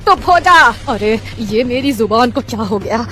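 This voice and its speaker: Woman doing a sharp-tongued mother-in-law. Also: a little high, steady tone, normal speed, close to an everyday voice. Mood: scolding. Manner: scolding, mocking. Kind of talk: cartoon voice acting.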